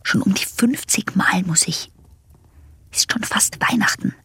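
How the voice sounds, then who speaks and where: A woman's voice: medium pitch, measured pace, pushed and bright, slightly rough, dry recording, in a whisper, full character voice. An actress in a radio drama playing a sullen, troubled young woman with a moody, muttering delivery. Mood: muttering, fretful. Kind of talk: German radio drama acting.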